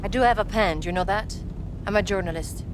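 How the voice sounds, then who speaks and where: An actress playing a feisty, skeptical young journalist, blunt and sardonic in a cinematic game scene. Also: female voice, mid-range voice, quick pace, background noise, lightly acted. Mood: guarded, wry. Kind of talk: cinematic video game dialogue.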